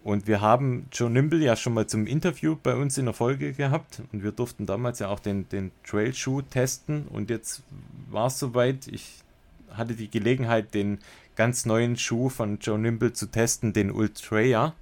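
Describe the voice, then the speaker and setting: A man's voice: mid-range voice, normal speed, a little mellow. A man chatting casually as a podcast co-host, enthusiastic and colloquial with bits of English slang. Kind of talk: casual podcast chat and product review.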